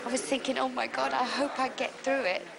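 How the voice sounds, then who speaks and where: A woman's voice: high-pitched, little pitch movement, fairly quick, gravelly, a crowd in the background. A woman from London, a pilgrim speaking chattily and candidly.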